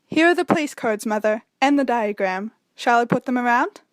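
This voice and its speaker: A woman's voice: on the high side, lively intonation, normal speed, no room sound, natural voice, low-quality recording. A woman playing a young debutante daughter in a radio play, girlish, plain-spoken and protesting. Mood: helpful.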